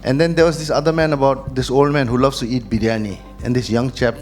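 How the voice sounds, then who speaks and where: A man's voice: mid-range voice, fairly even intonation, fast, soft background music. Moderator guy, medium voice, teasing the guests a bit. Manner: jokey, pushes for answers. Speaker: panel moderator. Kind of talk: casual panel questions.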